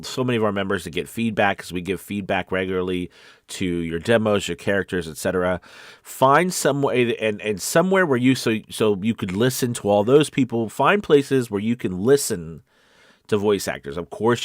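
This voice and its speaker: A man hosting a casual livestream coaching show, talking in an animated, emphatic, conversational way. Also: on the low side, moderate pace, no room sound, studio quality. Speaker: host of a voice-acting coaching show.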